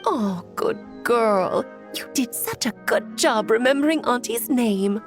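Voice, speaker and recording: A woman's voice: on the high side, sing-song, a little slow, soft background music. A woman voicing a breezy, playful witch auntie in a video game, coaxing sweetly and theatrically as if to a child. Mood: doting, breezy.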